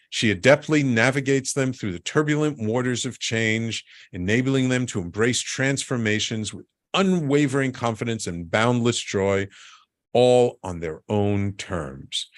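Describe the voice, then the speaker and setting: A man's voice: medium pitch, no echo, studio quality. A man hosting a talk radio interview, friendly and apologetic, often repeating words as he speaks. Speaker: radio show host.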